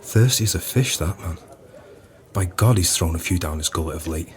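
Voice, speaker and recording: A very deep-voiced, world-weary publican in an audio drama. He is earnest and philosophical, with solemn, weighty phrasing. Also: expressive, normal speed. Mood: brooding, earnest. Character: gloomy, earnest, widowed publican with a burdened conscience. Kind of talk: audio play acting.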